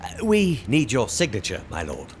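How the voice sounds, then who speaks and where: An actor playing a flustered, deferential advisor to a lord, formal and anxious in manner, in an audio drama. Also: male voice, mid-range voice, wildly swooping pitch, moderate pace, noisy background. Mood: deferential, uneasy.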